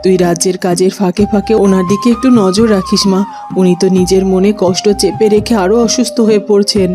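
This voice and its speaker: A woman playing a middle-aged queen mother in a fairytale cartoon, gentle, consoling and advising. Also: mid-range voice, fairly even intonation, fairly quick, close to an everyday voice. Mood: tender, advising. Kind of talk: cartoon voice acting. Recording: music behind the voice.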